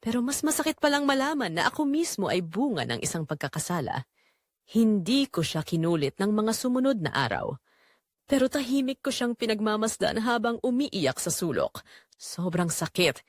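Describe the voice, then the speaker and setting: Female Filipino narrator for first-person audio drama, confessional, lively intonation, mid-pitched, very dry recording. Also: moderate pace, no echo. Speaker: narrator telling the story as its main character.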